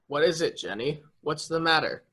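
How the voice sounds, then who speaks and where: A man's voice: on the high side, sing-song, moderate pace, bright, forceful tone, husky, full character voice. A man acting an anxious, fretful member of a mansion household in a murder mystery reading. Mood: uneasy.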